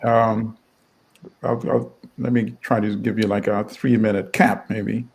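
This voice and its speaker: A man's voice: on the low side, little pitch movement, measured pace, vocal fry, a little mellow, breathy edge, poor audio. A middle-aged man, talking conversationally as an interview guest. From Uganda and Tanzania. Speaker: guest, newspaper editor and publisher.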